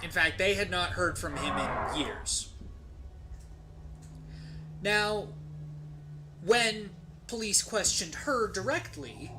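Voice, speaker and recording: A streamer telling a story casually and comedically while gaming, with profanity, dramatic pauses and rhetorical tags. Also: mid-range voice, expressive, background noise. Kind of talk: casual comedic storytelling during game play.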